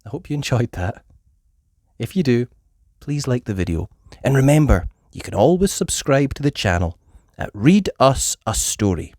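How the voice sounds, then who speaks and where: A woman reading a children's story aloud with expressive, emphatic narration. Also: a bass voice, moderate pace, dry recording, studio quality. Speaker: storybook reader.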